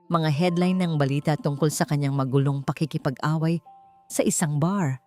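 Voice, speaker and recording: Low-voiced woman narrating a dramatic story. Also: mellow. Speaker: audiobook narrator. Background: background music.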